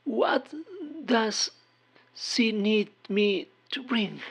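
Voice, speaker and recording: A woman voicing an anxious, kind-hearted neighbor in a horror audio drama, speaking in a soft, halting, worried way. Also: mid-range voice, vocal fry, husky, pitched above the performer's own voice, exaggerated voice. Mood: distressed, guilty.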